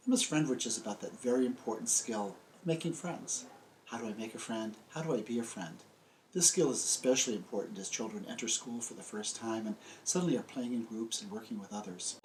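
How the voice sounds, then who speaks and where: A man's voice: mid-range voice, expressive, fairly quick, a little mellow, slight background noise, poor audio. An older American man, a children's book author, presenting and telling stories to young children in simple, emphatic phrases. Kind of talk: friendly scripted presentation and storytelling for young children. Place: small book-lined room indoors.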